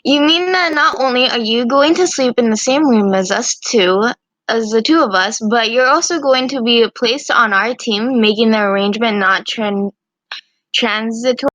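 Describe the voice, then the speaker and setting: A woman's voice: on the high side, normal speed, a bit bright, no room sound, lightly acted, poor audio. A woman voicing a protective, serious girl with a formal, firm manner in a visual novel fan dub. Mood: questioning, formal. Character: protective, serious, formal girl guarding her sleeping friend.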